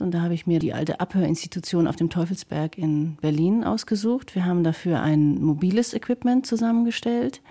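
Interviewee, woman, low-pitched and very soft-edged in tone, giving thoughtful, detailed explanations. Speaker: composer and radio drama maker. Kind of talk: reflective interview statement.

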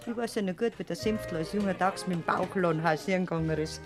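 An actress playing an older gossiping Bavarian peasant aunt, earthy and scolding, in a classic radio play. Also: female voice, fairly low pitch, fast, music in the background, close to an everyday voice.

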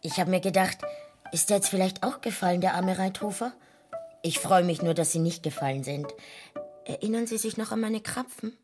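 An actress playing a garrulous, over-familiar streetwalker and war widow in a radio play, with a Bavarian-Austrian accent. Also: female voice, fairly low pitch, a little fast, over music, lightly acted. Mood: fond, nostalgic. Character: chatty, down-on-her-luck streetwalker, soldier's widow, over-familiar. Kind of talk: radio play dialogue with colloquial dialect.

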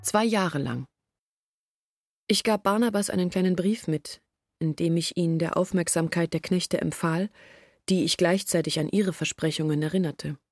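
Low husky young woman, earnest and troubled, telling her story in a radio play, dry close sound. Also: moderate pace, no echo. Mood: earnest, weary. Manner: earnest, reflective storytelling in long sentences. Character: troubled young woman confessing her family's long hardship. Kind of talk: German literary radio drama acting, monologue.

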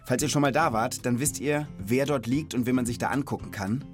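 A man's voice: medium pitch, a little fast, faint music behind. A man in his thirties hosting a children's podcast, explaining things in an informal, chatty, conversational way.

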